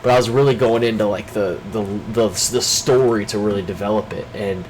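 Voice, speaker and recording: A young man with a fairly low voice and a stuffed-up nose, chatting off the cuff in a loose daily check-in. He rambles, restarts his sentences and leans on filler words. An air conditioner hum and background noise sit under his voice. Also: fairly even intonation, brisk, a little mellow, rough recording. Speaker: vlogger.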